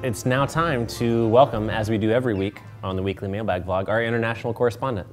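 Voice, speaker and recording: A man's voice: fairly low pitch, fairly even intonation, fast talker, faint music behind. A young man hosting a comedy mail vlog, reading fan letters with dry, wry asides. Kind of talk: deadpan comedic vlog banter.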